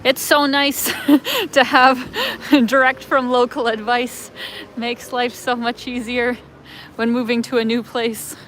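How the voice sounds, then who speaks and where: A Canadian woman in her thirties, her voice sitting a little high, talks in a loose and rambling way full of fillers. She records on a handheld phone out on city streets, with faint street noise behind her. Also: steady tone, slow pace, fairly bright tone. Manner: chatty, rambling, many fillers and laughs. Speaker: travel vlogger. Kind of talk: live walking-tour chat.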